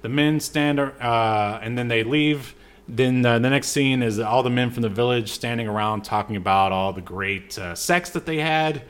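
Halting male podcaster recapping a movie. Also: mid-range voice, clean tone. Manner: halting, reading from notes with joking asides. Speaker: podcast co-host. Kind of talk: casual scene-by-scene movie recap.

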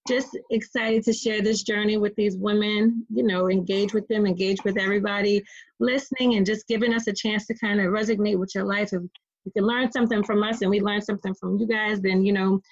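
A woman's voice: mid-range voice, steady tone, brisk, no echo, muffled recording. A woman in her thirties introducing herself briefly as a podcast co-host, easygoing in tone. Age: 30s. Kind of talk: casual self-introduction.

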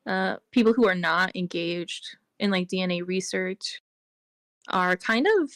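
A woman's voice: fairly low pitch, unhurried, fairly bright tone, no room sound, studio quality. A woman being interviewed on a podcast, explaining at length in a casual way, with frequent "like" and "um". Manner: explains at length, with many fillers like "like" and "um". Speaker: interview guest.

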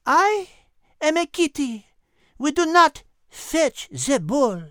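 A woman's voice: sits high, sing-song, dry recording, studio quality, exaggerated voice. A woman voicing a perky, bossy female cat with a French accent in a children's audio drama. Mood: huffy. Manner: brisk, commanding, peppers talk with French words. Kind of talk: scripted character acting for children's podcast.

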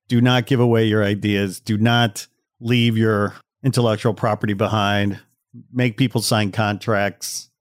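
A man with a fairly low voice hosts a podcast, recorded close and dry. He comments casually and wryly, addressing listeners directly as if in conversation. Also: flat delivery, measured pace, no echo. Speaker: podcast host.

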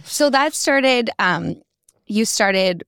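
A woman's voice: mid-range voice, lively intonation, drawn-out words, fairly bright tone, clear, resonant tone, dry recording. Woman co-host, dry close sound. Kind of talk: casual chat. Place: studio.

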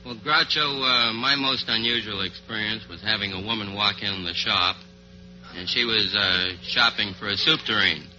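A man's voice: on the low side, moderate pace, pushed and bright, faint music behind. A man answering as a radio quiz contestant, explaining things earnestly in rather formal wording. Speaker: contestant, antique dealer. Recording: dull old-time radio recording.

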